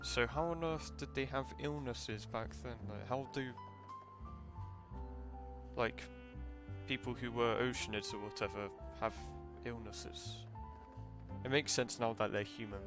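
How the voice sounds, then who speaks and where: A man's voice: mid-range voice, steady tone, measured pace. A man giving casual live commentary as a game streamer, rambling as he thinks aloud. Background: game music.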